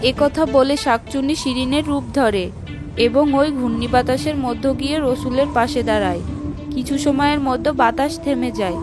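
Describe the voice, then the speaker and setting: A woman's voice: sits high, moderate pace. A woman giving scripted narration of a fairy tale for children. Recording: music behind the voice.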